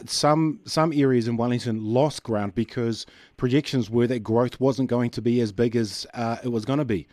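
A man's voice: medium pitch, warm tone, no room sound. Male radio host, thoughtful questioner, dry studio mic. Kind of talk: radio interview.

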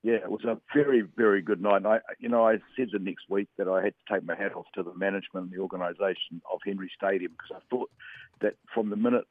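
A man with a lowish voice calls in over a thin, tinny phone line that sounds dry and close. He rambles through casual sports talk, hesitating and restarting with lots of fillers. He grew up in Western Samoa. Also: fairly even intonation, quick pace, dry recording.

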